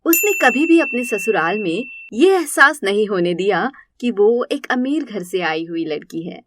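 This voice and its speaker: A woman's voice: mid-range voice, lively intonation, measured pace, a bit bright, no echo, clean studio sound. A woman narrating a scripted story.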